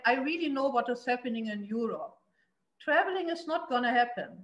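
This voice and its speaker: A woman's voice: a little high, a bit bright, echoey room, rough recording. A middle-aged woman with a German accent speaking on a panel over a video call. She talks earnestly and emphatically, with frequent fillers and restarts. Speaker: city investment promotion official. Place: indoor room at home. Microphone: laptop webcam on a video call.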